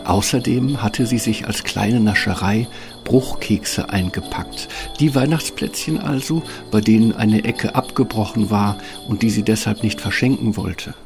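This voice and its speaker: A man's voice: on the low side, unhurried, creaky, music in the background. A man narrating a fairy tale from a script as the storyteller of a radio play.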